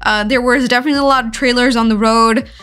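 A woman's voice: fairly high pitch, steady tone, fast, a bit bright, smooth, soft background music. A woman narrating her road trip vlog in a casual, chatty way, giggling often and filling her talk with "like". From California. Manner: chatty, giggly, many "like" fillers. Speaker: vlogger.

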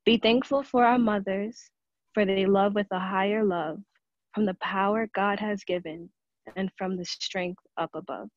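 A woman's voice: medium pitch, steady tone, a little slow, no echo, dull audio. A woman reciting a devotional poem as a congregation member over an online call.

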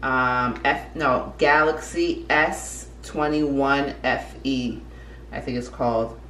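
A woman's voice: a bass voice, steady tone, slow pace, fairly bright tone. Chatty young woman vlogging at home. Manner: rambling, self-correcting, laughs at herself. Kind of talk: casual chatty vlog. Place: apartment kitchen and living room. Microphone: camera mic from a few feet away. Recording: echoey room, faint room noise.

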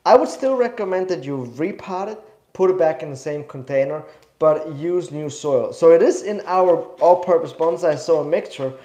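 A man's voice: a little high, warm tone, reverberant. A young man from Switzerland with a slight cough, eagerly teaching in a live studio demo, rambling and correcting himself. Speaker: bonsai demo host. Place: indoor studio.

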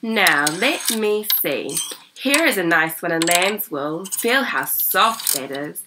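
A woman voicing a courteous, smooth-talking male shop salesman, formal and apologetic, in a storybook. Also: on the low side, expressive, slow, background noise. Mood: persuasive.

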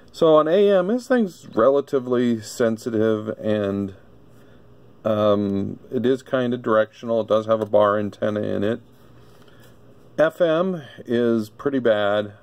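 Male gadget reviewer, casual and hesitant, unhurried delivery, gravelly edge. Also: lowish voice, darkish tone. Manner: halting, thinking aloud. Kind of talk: casual unscripted product demonstration. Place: indoor electronics workbench.

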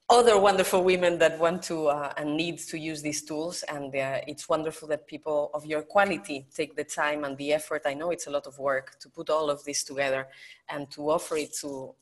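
A woman whose first language is Spanish speaks English with a clear Spanish accent, her voice sitting a little low. She explains her method with careful earnestness and frequent fillers, heard over a video call. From Spain. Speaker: guest expert. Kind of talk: online summit interview.

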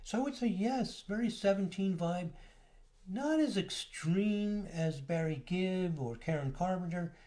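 A man giving a relaxed, explanatory lecture over slides, now and then pausing on filler words. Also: high voice. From United States. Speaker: astrology lecturer.

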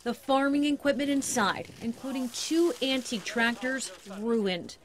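A woman's voice: sits high, measured pace. An American woman in her thirties giving a television news report from the field. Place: outdoors by farm fields. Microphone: handheld reporter microphone. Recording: light outdoor background noise.

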